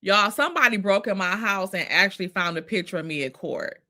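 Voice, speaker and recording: Bright, sassy woman host. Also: medium pitch, a little fast. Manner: sassy, exasperated, laughs often. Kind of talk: casual live commentary on reality drama.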